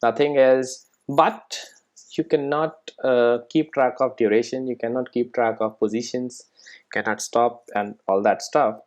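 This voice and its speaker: A software tutorial presenter explaining things step by step in an informal way, often checking in with the listener. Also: medium pitch, steady tone, unhurried.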